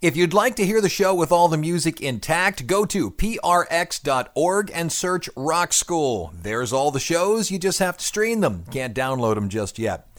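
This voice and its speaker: A man's voice: fairly high pitch, big pitch swings, normal speed, few pauses. A man hosting a rock music radio podcast, talking in a chatty, explanatory, lecture-like way. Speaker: radio show host. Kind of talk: casual talk radio.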